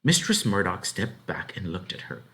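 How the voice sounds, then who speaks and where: Animated low-voiced man reading a story aloud. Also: moderate pace. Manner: dramatic, animated. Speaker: story reader. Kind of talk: expressive read-aloud narration of a children's novel.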